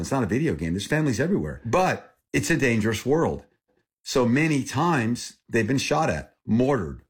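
A man in his sixties with an American accent and a husky voice, telling personal stories earnestly in short, plain sentences as an interview guest. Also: mid-range voice. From Thailand, born in Texas. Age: 60s.

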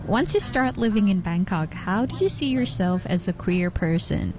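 A woman interviewer asking measured questions, one at a time. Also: mid-range voice, animated, music in the background.

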